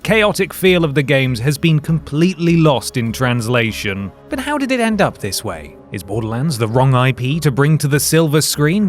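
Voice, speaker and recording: A man presenting a scripted video essay, with wry, dry humour and emphatic stresses. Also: fairly high pitch. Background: background music.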